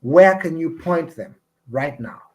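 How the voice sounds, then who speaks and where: A man hosting an online discussion over a video call, speaking in an earnest, appreciative and measured way. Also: fairly high pitch, animated, darkish tone. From Uganda. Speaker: host and community representative.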